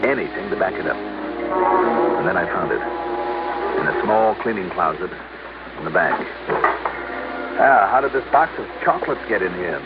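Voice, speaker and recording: An actor playing a shrewd, cool American investigator, measured and probing, in an old-time radio drama. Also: male voice, on the low side, sing-song, over loud music. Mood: narrating. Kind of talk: old-time radio drama acting and first-person narration. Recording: muffled, noisy old radio recording.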